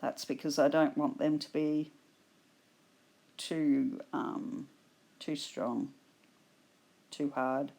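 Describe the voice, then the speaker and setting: A woman with an Australian accent, hoarse from a cold, patiently explaining her painting steps in a tutorial voice-over. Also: low-pitched, flat delivery, mellow. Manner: slow, patient explaining with fillers. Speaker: art instructor.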